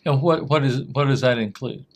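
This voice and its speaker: A man hosting a TV interview show, asking questions in a halting way and often repeating his words. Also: medium pitch. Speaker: host.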